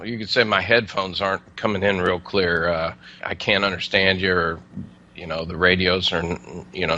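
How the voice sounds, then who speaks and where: Muffled, low-voiced man explaining plainly. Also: pushed and bright. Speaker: air traffic controller, interview guest. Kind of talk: casual explanatory interview answers.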